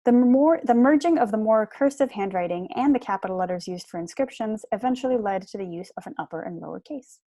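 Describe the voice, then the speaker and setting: Young female lecturer, explanatory and articulate, medium pitch, dry home recording. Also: expressive, quick pace, hardly any pauses, mellow, dry recording. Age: young adult. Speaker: lecturer, PhD student. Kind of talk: prepared seminar lecture. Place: small room at home.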